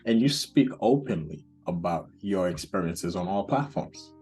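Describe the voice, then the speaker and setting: A man's voice: low-pitched, expressive, moderate pace, a little mellow, soft background music. A man hosting a podcast as a therapist, talking in an encouraging, easygoing way. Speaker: therapist host.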